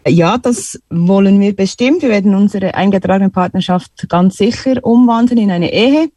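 A woman, answering calmly in a radio interview. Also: mid-range voice, normal speed, no room sound. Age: 30s. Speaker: interview guest.

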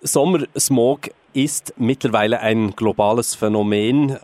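A man asking a radio interviewer's questions in a measured way. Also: mid-range voice, a little mellow.

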